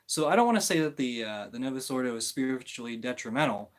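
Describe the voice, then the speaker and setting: A man's voice: mid-range voice, lively intonation, fast talker. A man hosting a livestream, talking casually and off the cuff in a hesitant way, often rephrasing mid-sentence as he gives his opinions. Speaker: livestream host answering viewer comments. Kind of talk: casual, rambling opinion talk on religion.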